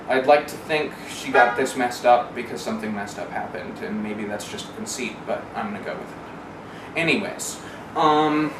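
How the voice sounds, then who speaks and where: A man recounts personal experience in a candid, wry manner, with some profanity and analytical digressions. He sits away from the microphone in an echoey room. Clear noise runs behind the voice. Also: mid-range voice. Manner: wry, sardonic, mildly profane; discursive, analytical asides. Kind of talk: candid first-person oral history. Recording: roomy, distant mic, clearly noisy.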